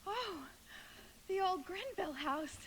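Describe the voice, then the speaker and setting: Very high-voiced, sweet teenage girl. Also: lively intonation, slow pace, fairly bright tone, full character voice. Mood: sweet, wistful. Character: sweet, wistful eighteen-year-old small-town girl. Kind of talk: live radio play acting. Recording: live stage recording with hiss.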